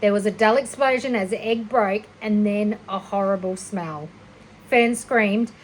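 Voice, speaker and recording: A woman reading a children's story aloud like a teacher, expressive and a little unpolished, with small stumbles and self-corrections. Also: mid-range voice, measured pace, a little hiss or hum, low-quality recording. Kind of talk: children's storybook read-aloud, narration with character voices.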